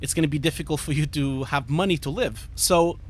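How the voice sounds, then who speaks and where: A man's voice: on the high side, fairly quick. A man of about forty from Portugal with a Portuguese accent, chatting casually and ramblingly on a livestream while gaming and answering viewers. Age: 40s. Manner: rambling, easily distracted, informal with swearing. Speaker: livestream host, visual effects artist.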